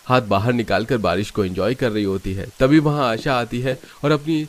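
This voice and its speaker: A man's voice: mid-range voice, normal speed. A man reading scripted narration for a cartoon family story.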